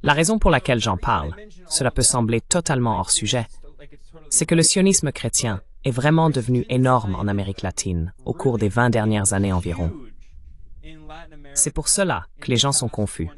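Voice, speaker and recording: A man delivering a voice-over translation of political commentary in a measured, explanatory style. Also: medium pitch, expressive.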